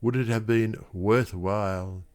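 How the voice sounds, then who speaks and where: A man reads poetry aloud in a low voice. He takes his time, very slow and measured, shaping each phrase expressively as a dramatic literary recitation. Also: fairly even intonation, darkish tone. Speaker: poetry reader.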